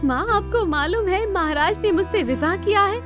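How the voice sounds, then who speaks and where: A woman's voice: very high-pitched, animated, moderate pace, music in the background, pitched above the performer's own voice. A woman voicing a sweet, devoted young princess in a cartoon story, girlish and eager.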